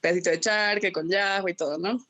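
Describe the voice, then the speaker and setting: Chatty Bolivian woman, bright voice. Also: fairly low pitch, fairly even intonation, fast. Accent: Bolivian Spanish, Cochabamba. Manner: rambling, tags phrases with "¿no?" and laughs often. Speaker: guest chef. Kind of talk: casual interview chat.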